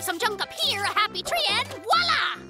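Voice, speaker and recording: An actor voicing an excitable, cocky little brown bear cub in a children's cartoon, boastful and theatrical in manner. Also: extremely high pitch, normal speed, loud music behind the voice. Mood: boastful bluffing.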